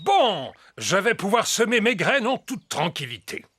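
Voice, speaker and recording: A man's voice: high-pitched, sing-song, measured pace, very noisy. A man voicing a kindly, cheerful elderly grandpa pig in a French children's cartoon dub, hearty and encouraging, over music. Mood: reassuring.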